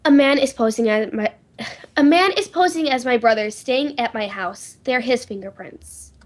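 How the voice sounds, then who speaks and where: A woman's voice: fairly high pitch, normal speed, natural voice. A woman playing an anxious, insistent well-to-do lady in old time radio drama style, urgent and faltering in manner. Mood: anxious, disbelieving.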